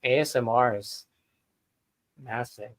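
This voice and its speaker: A man's voice: medium pitch, slow. Easygoing beer-streamer man. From Philadelphia. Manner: easygoing, chuckling, trails off. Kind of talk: casual chat.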